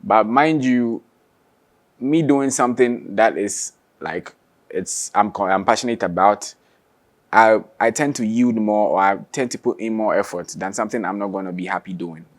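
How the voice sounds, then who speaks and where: Young man, low-voiced interview guest. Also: normal speed. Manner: relaxed, laughs often, restarts sentences. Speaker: singer and guitarist guest. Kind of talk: casual interview chat.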